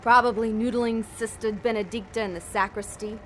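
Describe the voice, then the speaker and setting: A woman's voice: fairly high pitch, steady tone, brisk, a little hiss or hum, lightly acted. A woman playing a dry, terse, streetwise worker in a comedy audio drama. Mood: dry, impatient. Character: wry, streetwise damned female worker, no-nonsense.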